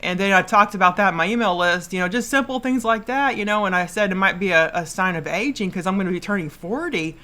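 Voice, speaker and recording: A woman's voice: medium pitch, fast talker, few pauses, sharp tone. A woman in her late thirties hosting a podcast solo, casually giving advice in a rambling, repetitive way and stumbling over words. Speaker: podcast host.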